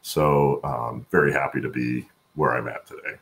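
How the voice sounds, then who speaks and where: Very deep, gravelly-voiced man. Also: steady tone, moderate pace, fairly bright tone. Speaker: podcast guest, supply chain executive. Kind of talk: conversational interview answers. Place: indoor room at home, on a video call. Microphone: video call.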